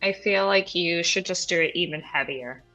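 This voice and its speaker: A woman co-hosting a casual live stream, upbeat, reading viewer comments aloud and quick to apologize. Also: lowish voice, bright, forceful tone, soft background music, rough recording. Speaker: podcast co-host.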